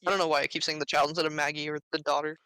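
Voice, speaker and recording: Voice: mid-range voice, little pitch movement, racing, sharp tone, clear, resonant tone, rough recording. A co-host on a casual book podcast, speaking in a critical, matter-of-fact way.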